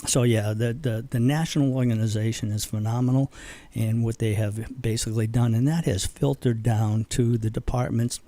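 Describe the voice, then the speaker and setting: A man's voice: medium pitch, fairly even intonation, normal speed, mellow, no room sound. An older man from Boston, a veterans organization leader, speaking earnestly as a guest in a radio interview, with fillers and restarts.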